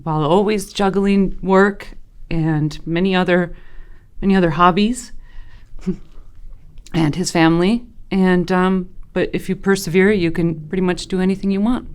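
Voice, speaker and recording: Smooth-voiced woman reflecting calmly. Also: fairly low pitch, measured pace. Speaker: interviewee. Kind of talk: reflective interview answer.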